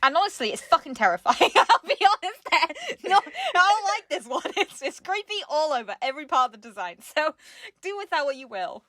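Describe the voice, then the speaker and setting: A woman's voice: high-pitched, very animated, fairly quick, pushed and bright, dry recording, clean studio sound. A woman chatting casually and playfully as co-host of a craft show, giggly and enthusiastic. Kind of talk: casual comedic chat.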